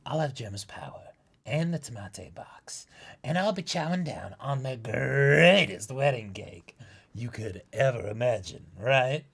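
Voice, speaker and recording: Pirate matriarch, played by a man, gravelly, boastful, greedy and menacing, for a comic read-aloud. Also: medium pitch, expressive, a little slow, a bit bright, heavily acted. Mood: smug menace. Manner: boastful, domineering. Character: gluttonous, gleeful, menacing giant pirate queen mother. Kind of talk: dramatic comic-book reading among friends.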